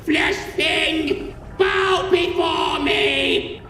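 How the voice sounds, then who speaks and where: Shrieking, power-mad villain brain. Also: male voice, steady tone, extremely slow, full character voice. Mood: commanding, megalomaniac. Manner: bombastic, booming villain declarations. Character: megalomaniac disembodied brain in a jar, power-hungry. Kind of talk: video game villain voice acting. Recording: echoey long reverb, music behind.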